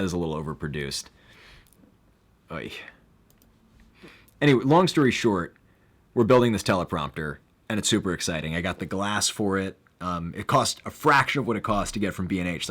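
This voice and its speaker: Young male streamer, lowish voice, loose rambling delivery, gets excitable. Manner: rambling, filler-heavy, excitable. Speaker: live stream host. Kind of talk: casual live stream chat.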